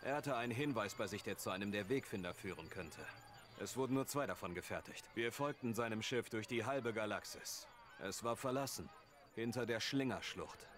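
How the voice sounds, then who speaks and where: An actor playing a seasoned male adventurer, recounting events in a measured, weary way. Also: on the low side, expressive, fairly bright tone. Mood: grave. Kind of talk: game cutscene narration. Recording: game audio with noise behind.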